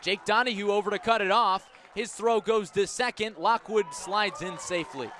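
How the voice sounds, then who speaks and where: A man doing live radio baseball play-by-play as the announcer, measured between pitches and lifting into excited calls on the action. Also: some chatter behind, slight background noise. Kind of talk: live radio sports commentary.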